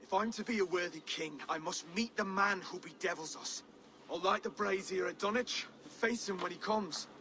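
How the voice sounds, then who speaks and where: High, bright-voiced earnest king. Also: normal speed, a little hiss or hum. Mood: determined. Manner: formal, resolute. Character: earnest, principled young Saxon king. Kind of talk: dramatic video game acting.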